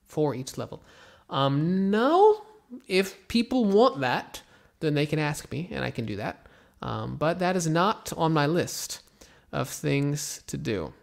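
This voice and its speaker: American man, young adult, slightly high voice, gravelly, casual rambling answers. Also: takes it slowly. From Florida, USA. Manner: relaxed, rambling, many ums and restarts. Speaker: English teacher answering viewer questions. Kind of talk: casual Q&A, reading comments aloud.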